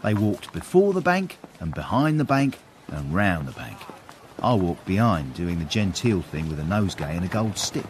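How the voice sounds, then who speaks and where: A man's voice: low voice, big pitch swings, moderate pace, slight background noise. An actor playing a wry, discreet British manservant, confidingly narrating his tale in a period comic radio drama. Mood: dry storytelling.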